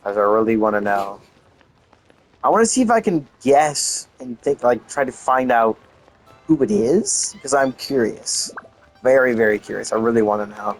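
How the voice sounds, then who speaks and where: A male game streamer thinks aloud in a casual, rambling way. His medium-pitched voice is soft-spoken but still projects, with faint music in the background. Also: animated, unhurried, poor audio. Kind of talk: casual live-stream commentary.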